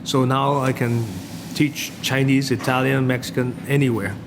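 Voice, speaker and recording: A man's voice: mid-range voice, level tone, moderate pace. A middle-aged man from Hong Kong with a Hong Kong accent, a chef and teacher talking casually in a rambling, hesitant way. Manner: rambling, hesitant, non-native phrasing. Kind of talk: casual interview answers and explanation. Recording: noisy, long-reverberant room.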